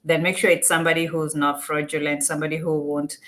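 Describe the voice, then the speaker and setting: Low-voiced Kenyan woman explaining step by step. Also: flat delivery, quick pace, fairly bright tone. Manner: explanatory, repeats and rephrases points. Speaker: tutorial presenter. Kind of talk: informal step-by-step screen walkthrough.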